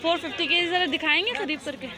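A woman's voice: very high voice, quick pace. A woman with a Pakistani Urdu accent vlogging a market tour, chatting to viewers and asking sellers prices. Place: busy outdoor street market. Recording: crowded outdoor market noise. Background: crowd chatter and traffic.

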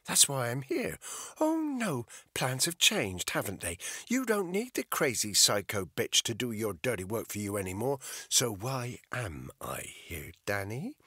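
A man's voice: mid-range voice, wildly swooping pitch, a little slow, pushed and bright, husky, no room sound, studio quality, pitched above the performer's own voice, exaggerated voice. A man voicing a volatile, dangerous woman who hisses and whispers her scorn. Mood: scornful.

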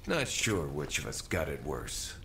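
An actor playing a weary, sardonic, battle-worn young man in a video game cutscene, speaking in halting, laconic phrases. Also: rumbling low, huge pitch swings, sharp tone, full character voice. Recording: roomy echo with faint noise.

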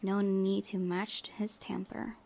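A woman reading visual novel narration aloud as a let's play host, softly, with casual asides. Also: mid-range voice, flat delivery, slow pace, gravelly.

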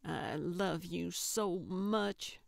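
A woman's voice: lowish voice, slow, vocal fry, no room sound, clean studio sound. A woman voicing a feisty, sentimental older woman with a blunt, plainspoken manner in an audiobook. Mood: tender. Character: feisty, sentimental older woman who loves her cat. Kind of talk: audiobook character voice.

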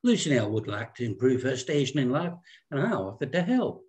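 A man's voice: medium pitch, sing-song, darkish tone, lightly acted. Snooty small-town matron in her fifties, played by a man, condescending and sugary, for a comic screenplay read. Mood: smugly superior. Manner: condescending, drawn-out genteel delivery. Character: snobbish, fussy fifty-year-old small-town society woman, falsely sweet. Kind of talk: script table read. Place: indoor room at home, on a video call.

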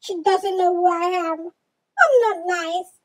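A young female performer voicing a bossy, two-faced babysitter in a children's game roleplay, with a deliberate, commanding manner. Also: very high voice. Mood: mean, bossy. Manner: slow, insulting, commanding. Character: evil babysitter, sugary at first, bossy bully.

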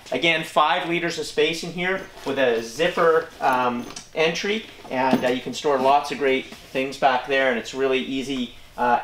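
A man's voice: mid-range voice, fairly bright tone. Upbeat man demoing snowmobile bags. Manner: upbeat, casual, with frequent "uh" fillers. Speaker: snowmobile gear dealer presenter. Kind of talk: product walkthrough demo. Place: indoor showroom beside a snowmobile. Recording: echoey indoor room.

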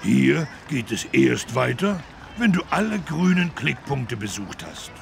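Gravelly, excitable cartoon elephant. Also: male voice, mid-range voice, measured pace, full character voice. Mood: helpful, amazed. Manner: exuberant, childlike. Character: big good-natured talking cartoon elephant, childlike and cheerful. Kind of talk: children's educational game acting.

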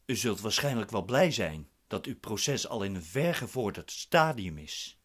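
A man's voice: fairly low pitch, sing-song, a little slow, a bit bright, exaggerated voice. A man playing a proud, impatient accused man in a radio drama, curt and formal in manner. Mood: skeptical. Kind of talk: radio drama acting in Dutch.

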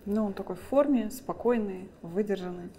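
A woman's voice: medium pitch, moderate pace, mellow, a little hiss or hum. A woman giving casual, speculative commentary as a panelist in a studio. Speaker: studio commentator on a dating show. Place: indoor TV studio.

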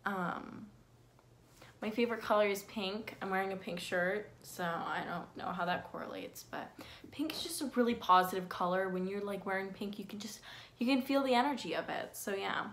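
A woman's voice: medium pitch, moderate pace, a bit bright, a little hiss or hum. Rambling teenage girl vlogging at home. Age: teens. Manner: rambling, filler-heavy, self-deprecating. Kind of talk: casual facts vlog. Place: bedroom with window blinds, indoors. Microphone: phone camera at close range.

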